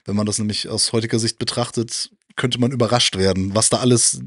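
A man's voice: fairly low pitch, fairly even intonation, a little fast, a bit bright, slightly rough, no room sound, studio quality. A middle-aged man talking as a show guest in casual, nostalgic fan chat, informal and opinionated. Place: indoor media collection room, seated on sofa. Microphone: handheld microphone close to mouth.

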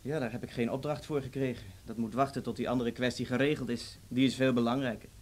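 Guy acting a smooth cynical spy type, polite but threatening, dry irony, radio drama. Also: mid-range voice, steady tone, quick pace, a little hiss or hum, lightly acted. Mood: affable. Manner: urbane, dryly ironic. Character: suave, cynical male intelligence agent, politely menacing. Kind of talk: Dutch radio play acting.

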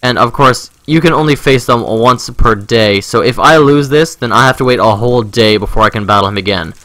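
A man's voice: medium pitch, brisk, sharp tone, dry recording. A man giving casual, informal let's-play commentary, rambling and thinking aloud into a close microphone. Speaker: gaming video host.

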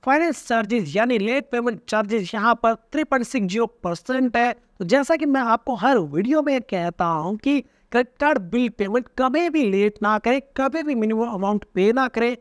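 A man in his thirties explaining card benefits straight to camera, animated and gesturing constantly, recorded on a lapel mic in a small room. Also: extremely high pitch, normal speed, few pauses, clean tone, dry recording, clean studio sound. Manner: animated, gesturing constantly, addresses viewers as friends. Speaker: personal finance YouTuber. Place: small indoor room with a floor lamp.

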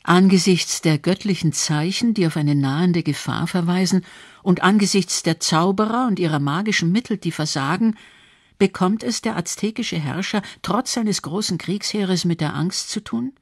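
A woman's voice: lowish voice, normal speed, no echo, clean studio sound. A woman narrating a scripted radio documentary.

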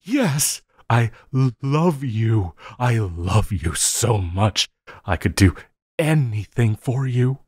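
A man playing an obsessive, lovesick supervillain in an audio roleplay, needy and melodramatic, with halting, stammering delivery. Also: medium pitch, sing-song, husky, dry recording, studio quality, full character voice. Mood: desperate, begging. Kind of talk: intimate audio roleplay acting.

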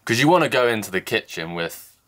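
A man's voice: lowish voice, very animated, brisk, a bit bright. A young man vlogging from a snowy mountain cabin, chatting casually and joking about his own lack of skill while holding the camera at arm's length. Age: young adult. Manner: chatty, self-deprecating, explains as he goes. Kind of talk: casual vlog narration. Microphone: handheld camera at arm's length.

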